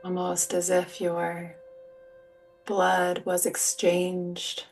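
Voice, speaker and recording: A woman leading a guided relaxation as a yoga teacher, speaking in a soothing, gentle, unhurried manner. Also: on the low side, monotone, creaky. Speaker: yoga nidra meditation guide. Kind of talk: guided meditation, soft spoken instruction. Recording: distant mic, music behind. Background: soft music or sound bowls.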